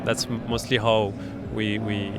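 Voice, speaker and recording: A man with a French accent, a startup accelerator founder being interviewed at a startup event, explaining his business in a halting way full of fillers and restarts. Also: lowish voice, level tone, over music. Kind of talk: conversational interview.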